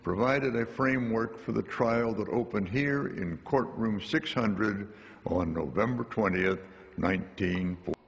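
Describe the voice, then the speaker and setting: A man's voice: lowish voice, unhurried, gravelly, slightly rough, highs cut off. An older man giving scripted documentary narration as a veteran correspondent. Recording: faint noise, hall reverb.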